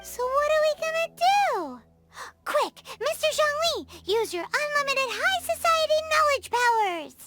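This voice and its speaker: An extremely high, childlike voice for a small fairy companion in a video game. It is blunt, excitable and squeaky, taking its time over words with very animated swings. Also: female voice, measured pace, full character voice. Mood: excited. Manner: refers to self in third person, exclamatory. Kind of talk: English game voice acting. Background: background music.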